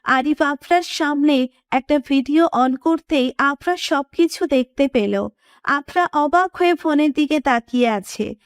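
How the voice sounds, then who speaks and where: Female narrator for scripted romance audio story, high voice, dry recording. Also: measured pace, no room sound.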